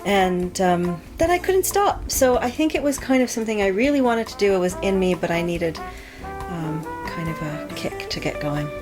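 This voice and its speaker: A woman's voice: medium pitch, animated, normal speed, music in the background. A middle-aged woman being interviewed as an author, answering thoughtfully with frequent ums and restarts. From Canada. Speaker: author guest.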